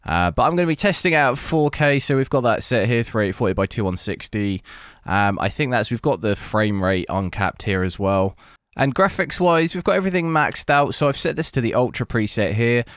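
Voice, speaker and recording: A man with a hoarse, lost voice, hosting a PC gaming channel and commenting casually while he plays, thinking aloud. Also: mid-range voice, fairly quick, hardly any pauses, no room sound, clean studio sound. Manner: relaxed, rambling, thinking aloud. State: hoarse, losing his voice. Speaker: PC gaming benchmark channel host.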